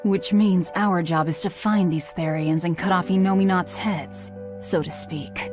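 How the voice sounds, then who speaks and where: A hard-edged, impatient woman fighter, voiced by an actress, talks curtly in a lowish voice. It is game cutscene acting with clear music behind. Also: fairly even intonation, measured pace, lightly acted. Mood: determined. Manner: blunt, curt.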